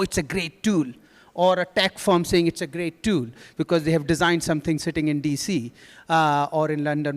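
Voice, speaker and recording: A man speaking on a conference panel, explaining his points conversationally with examples and quoted speech. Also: on the high side. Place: conference hall. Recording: reverberant conference hall.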